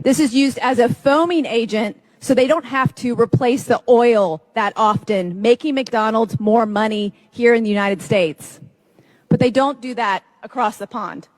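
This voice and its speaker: A woman narrating an informative clip in a brisk manner, listing facts one after another. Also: on the high side.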